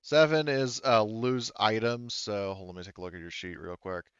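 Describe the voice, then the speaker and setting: A man with a low, smooth voice runs a tabletop game. He talks casually and haltingly, thinking aloud through the rules. The sound is dry and a little dull. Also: no room sound. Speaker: game master. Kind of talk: running a tabletop sci-fi roleplaying game.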